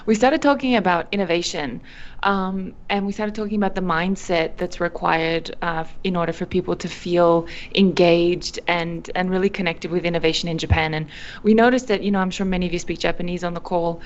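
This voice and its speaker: A young woman from Australia answering interview questions, animated, with frequent fillers and restarts. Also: lowish voice, brisk, muffled recording. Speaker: guest, company founder. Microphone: video call.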